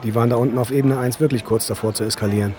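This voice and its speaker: An actor playing a breezy, informal security officer in a science-fiction radio drama. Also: male voice, medium pitch, fairly even intonation, lightly acted. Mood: casual, brisk. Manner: quick, casual, teasing. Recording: busy, loud crowd background.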